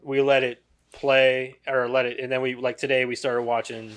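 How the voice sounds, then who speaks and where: A man's voice: medium pitch, flat delivery, moderate pace, fairly bright tone. A man co-hosting a podcast in laid-back casual chat, peppering his talk with "man" and "like". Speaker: podcast co-host.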